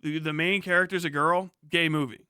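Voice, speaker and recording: A man in his thirties chatting casually as a livestream host, swearing freely and riffing in a sarcastic, animated way. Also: fairly high pitch, brisk, smooth, no echo, clean studio sound. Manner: profane, animated, sarcastic ranting.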